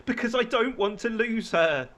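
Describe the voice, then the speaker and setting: Casting: male actor for despairing, ashamed businessman in radio drama, high voice, wide swings. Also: animated, moderate pace, a bit bright. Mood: anguished, ashamed. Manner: emotional, despairing. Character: anguished, ashamed businessman abused by his girlfriend. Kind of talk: audio drama acting.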